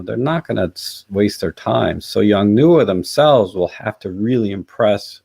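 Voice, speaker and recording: Scratchy, creaky-voiced low male livestreamer. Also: a little mellow. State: hoarse after a night out. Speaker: livestream host. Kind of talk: casual live chat, reading out and answering comments.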